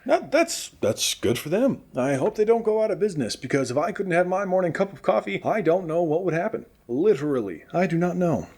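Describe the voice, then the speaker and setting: Man playing an animated, upbeat radio host. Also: sits high, a little fast, hardly any pauses, exaggerated voice. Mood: bemused. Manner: animated, earnest, encouraging. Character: cheerful, upbeat male radio host of a post-apocalyptic show. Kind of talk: scripted comedic radio show hosting.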